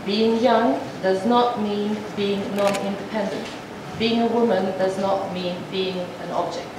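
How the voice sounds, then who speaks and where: A woman's voice: mid-range voice, fairly even intonation. Woman interpreter in an echoing hall. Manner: measured, with frequent halting restarts. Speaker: sign language interpreter voicing a speech. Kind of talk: formal address to an assembly, interpreted aloud. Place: large assembly hall. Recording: echoey hall from far off, noisy.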